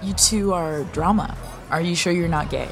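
An actress playing a wry, blunt young college student, teasing and dry, in an audio drama. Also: female voice, on the low side, normal speed, lightly acted. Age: young adult. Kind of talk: scripted audio drama dialogue. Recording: music behind the voice.

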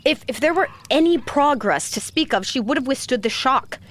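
Scornful high-voiced young rival. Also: female voice, lively intonation, normal speed, fairly bright tone. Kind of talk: fantasy audio drama acting.

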